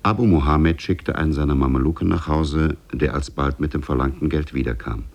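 A man with an extremely low, husky voice narrates an old tale. His delivery is formal and measured, in the manner of 1950s German radio storytelling. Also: a little mellow.

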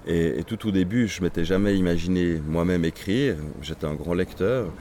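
A man whose first language is Swedish, a crime novelist answering interview questions in a reflective, halting way with many restarts. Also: low voice, mellow, slight background noise.

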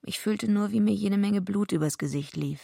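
A woman's voice: mid-range voice, moderate pace, gravelly, mellow, slightly rough, no room sound, clean studio sound. An actress playing a tough, bitter female wrestler who tells her own story brashly in a German radio drama. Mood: bitter, wry. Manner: brash, foul-mouthed. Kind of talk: German radio drama, first-person narration and dialogue.

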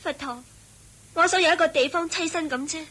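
A woman's voice: very high voice, brisk, bright, forceful tone. A woman with a Hong Kong Cantonese accent playing an anxious young woman who pleads earnestly for help in a radio drama. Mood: pleading.

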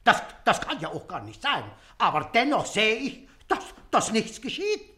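A querulous old man in a radio drama, forever insisting on his rights. His voice is bright and piercing, with a very lively pitch, and he stammers and repeats words in his agitation. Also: normal speed, full character voice. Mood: indignant. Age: older. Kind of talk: German radio play acting.

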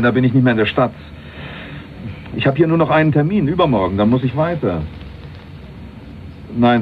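An actor playing a flirtatious, pushy courier in a German radio crime drama, wry and given to small hesitant stammers. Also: male voice, medium pitch. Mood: agitated, exasperated. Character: flirtatious, pushy male courier passing through town. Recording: muffled phone-like old radio drama, faint noise.

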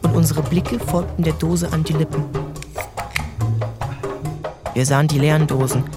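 Male first-person narrator played by an actor, literary radio drama, incantatory short phrases, measured, music behind. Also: a little high, steady tone, close to an everyday voice. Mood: vivid. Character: grown son recalling his wild, hungry boyhood.

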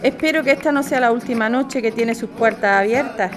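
A woman's voice: fairly high pitch, a little fast, lightly acted. A woman playing a nostalgic widowed mother of a grown daughter in an audio drama. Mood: nostalgic, worried.

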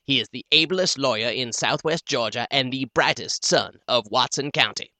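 Man reading as a stiff chairman, measured and formal, dry sound. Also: medium pitch, pushed and bright, dry recording. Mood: stern. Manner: formal, measured speech-making.

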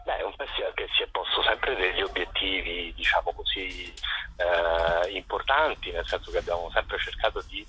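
A man's voice: low-pitched, fairly even intonation, moderate pace, pushed and bright, slightly rough, low-quality recording. A man answering interview questions as a festival director, explaining at length in a hesitant, discursive way.

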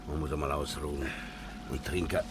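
Very deep-voiced old Silesian farmer. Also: male voice, fairly even intonation, a little fast. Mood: grave, content. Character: stern, plain-spoken old farmer and father. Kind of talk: naturalistic German radio drama acting. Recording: noisy old radio production.

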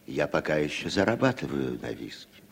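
An actor playing a cynical, hard-drinking, defiant American radio commentator in a Soviet radio drama, with a sardonic, unhurried way of talking. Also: male voice, rumbling low, sing-song, creaky, husky, full character voice. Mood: weary, bitter. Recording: 1960s radio recording, guitar music behind.

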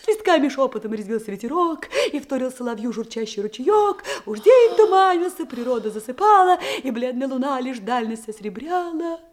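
An actress playing a coy young ingenue in a verse comedy, declaiming with affected, mock-sentimental dreaminess. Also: female voice, high voice, lively intonation, fairly quick, hardly any pauses. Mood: dreamy, mock-sentimental. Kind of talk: theatrical verse comedy radio play.

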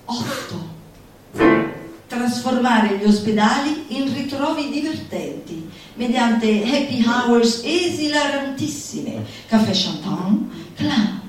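A woman performer reading a manifesto as theatrical declamation, deliberate and declamatory, with dramatic pauses. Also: high-pitched. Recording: roomy, echoing, distant mic, faint noise.

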